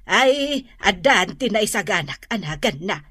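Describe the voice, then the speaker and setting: A woman's voice: medium pitch, very animated, a little slow, sharp tone, husky, no echo, studio quality, full character voice. A woman playing a doting, fussy grandmother in Ilocano radio drama, exclamatory and melodramatic, with an Ilocano accent. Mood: bossy, fussy. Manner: gushing, exclamatory, sing-song wailing.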